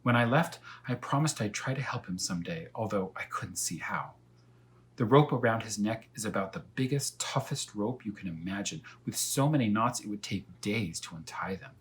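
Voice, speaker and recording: A talkative female storybook cat read aloud by a man unfolds a tale for children. The delivery is earnest and unhurried, with very animated pitch that leans on key words. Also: close to an everyday voice. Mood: concerned, pitying.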